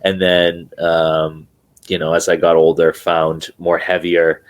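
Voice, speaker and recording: Deep-voiced laid-back drummer on a call. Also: male voice, slow. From New Hampshire, USA. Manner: relaxed, hesitant with many fillers and restarts. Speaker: guest drummer. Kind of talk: casual interview chat. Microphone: remote video call.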